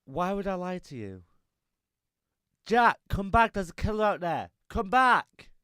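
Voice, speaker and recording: An actor playing a frank, downtrodden circus worker in a radio drama, earnest and plaintive. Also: male voice, sits high, sing-song, measured pace, sharp tone, clean tone, dry recording, clean studio sound, exaggerated voice. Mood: frank, urgent.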